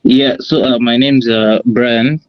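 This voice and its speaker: A man's voice: steady tone, takes it slowly, no room sound. A man speaking as a student asking a question in an online class. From Zambia. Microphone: online call connection. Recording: dull, low-fidelity call audio.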